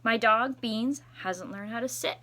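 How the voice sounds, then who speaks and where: A woman's voice: a little high, unhurried, fairly bright tone. A woman voicing a candid, matter-of-fact young schoolgirl in a storybook, speaking frankly and emphatically.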